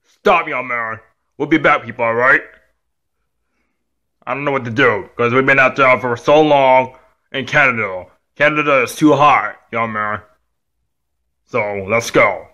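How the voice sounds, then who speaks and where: A man's voice: mid-range voice, unhurried, pauses often, pushed and bright, dull audio, pitched below the performer's own voice. A man playing a stern, weary father who scolds and admonishes his young son in a homemade story. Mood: weary scolding.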